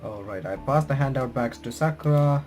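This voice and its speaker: A man's voice: medium pitch, moderate pace, a little mellow. A man hosting a game playthrough, reading the text aloud with casual reactions and stumbling over words as he goes. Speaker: host of game reaction videos. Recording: faint music behind the voice. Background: game music.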